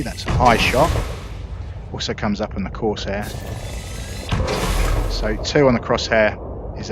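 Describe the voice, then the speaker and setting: A man giving casual, unhurried gameplay commentary, explaining things in an easygoing way as the host of a gaming video. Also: lowish voice. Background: space game sound effects and engine noise.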